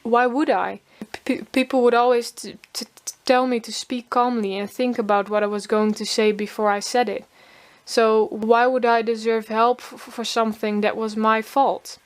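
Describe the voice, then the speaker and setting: A woman's voice: on the high side, little pitch movement, normal speed. A teenage girl narrating her own story, stuttering often on first sounds of words. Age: teens. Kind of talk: pre-recorded personal narration.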